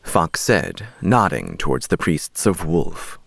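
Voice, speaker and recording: A man narrates a story as an audiobook reader. His voice is low and creaky, with highly animated intonation. The recording is close and dry. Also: takes it slowly, no room sound.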